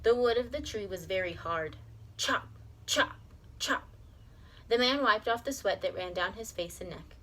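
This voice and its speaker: A woman's voice: medium pitch, lively intonation, measured pace, bright, forceful tone. A woman reading a children's story aloud expressively, as a teacher does. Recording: clear background noise.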